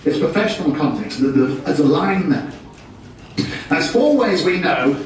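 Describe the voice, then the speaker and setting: A British man with a fairly high voice gives a business talk full of wry, self-deprecating jokes. He is picked up from a distance, so his voice rings through a heavily reverberant function room with faint noise underneath. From United Kingdom. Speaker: presenter. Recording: very echoey room, distant mic.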